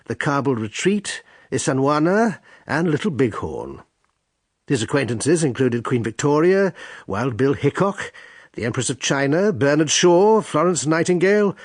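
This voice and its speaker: Guy narrating intro to a radio play, formal and dry, husky voice, very expressive, close dry sound. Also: mid-range voice, dry recording. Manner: measured, formal, drily ironic.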